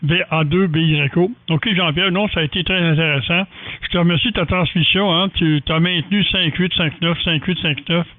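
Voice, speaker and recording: Older quebec french guy chatting on ham radio, slightly high voice, tinny narrow sound. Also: steady tone, brisk, dry recording. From Canada, Quebec. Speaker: amateur radio operator. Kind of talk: casual ham radio chat. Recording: thin, dry band-limited radio-style audio.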